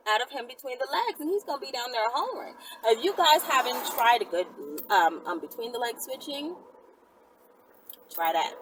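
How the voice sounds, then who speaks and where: A woman's voice: fairly high pitch, a little hiss or hum, low-quality recording. A woman talking casually and candidly to her viewers as a vlog host, with blunt, slangy, profane phrasing. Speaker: channel host answering viewers' questions.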